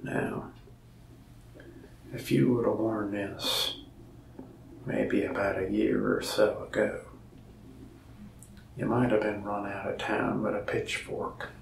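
Slow, gravelly whispering young man. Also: lowish voice, little pitch movement, roomy sound, distant mic, a little hiss or hum, poor audio. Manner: whispered, rambling folksy storytelling. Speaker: shop salesman in a roleplay. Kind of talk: soft-spoken ASMR roleplay.

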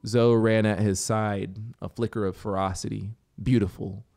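A man in his thirties reading a story as an audiobook narrator, deliberate and theatrical, close to a studio microphone. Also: lowish voice, little pitch movement, gravelly, a little mellow, dry recording. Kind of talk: dramatic scripted story narration. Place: small home music studio, indoors. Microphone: close studio microphone with headphones. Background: music soundscape.

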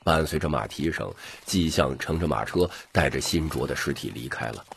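A man with a rough voice narrating a scripted audiobook story. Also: extremely low pitch, animated, moderate pace, a bit bright, a little hiss or hum.

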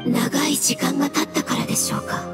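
A woman's voice: sits high, steady tone, fairly quick, lightly acted. A woman voicing a polite, cautious floating water fungus spirit in a video game, speaking formally and deferentially. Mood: polite, concerned. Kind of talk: video game character acting. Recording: echoing voice effect over music.

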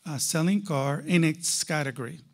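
A man's voice: on the high side, moderate pace. A man giving a conference keynote to a live audience, emphatic, with rhetorical questions and repeated key phrases. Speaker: keynote speaker.

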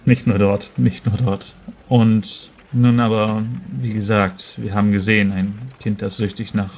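A man playing a tabletop roleplaying game, improvising dialogue in a measured, formal and somewhat hesitant way. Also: on the low side, level tone, a little mellow.

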